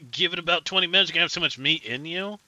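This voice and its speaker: A man's voice: on the high side, fast, pushed and bright, no echo, poor audio. A man streaming a survival game, chatting casually and rambling with his teammates. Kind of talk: casual gaming chat with teammates.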